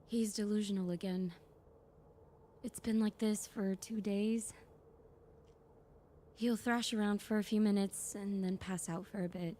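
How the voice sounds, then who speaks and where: A woman's voice: mid-range voice, fairly even intonation, unhurried, long pauses, dark tone, slight background noise, natural voice. A woman acting a weary, bookish, dryly sardonic soldier-scholar in a sci-fi audio drama, with natural, hesitant conversational delivery. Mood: weary, concerned.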